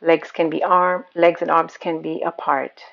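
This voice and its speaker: A woman leads a guided meditation in a calm, soothing way. Her voice sits on the low side and has a strong creak of vocal fry. The recording is a little dull and muffled, with a lo-fi sound. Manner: calm, soothing, unhurried instruction. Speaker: yoga nidra meditation guide.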